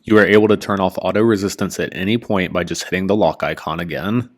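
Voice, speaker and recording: A man reading a scripted product review as host, in a measured, informative way. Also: low voice.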